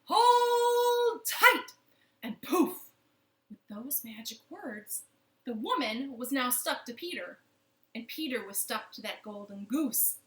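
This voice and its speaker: A woman's voice: on the high side, lively intonation, measured pace, fairly bright tone. A woman telling a children's story expressively from her living room, speaking directly to young listeners. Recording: roomy, echoing home living room.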